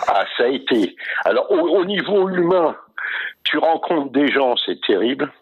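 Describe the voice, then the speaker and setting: A man's voice: medium pitch, big pitch swings, moderate pace, slightly rough, no room sound. An older man chatting casually over the telephone, hesitant and repetitive, with familiar slangy turns. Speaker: entertainer, cruise performer. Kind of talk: casual phone chat. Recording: dull, muffled phone line.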